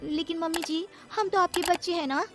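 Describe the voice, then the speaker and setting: A woman's voice: very high voice, fairly even intonation, a little fast, music in the background, pitched above the performer's own voice. A woman voicing a wheedling, scheming young daughter-in-law in a cartoon story.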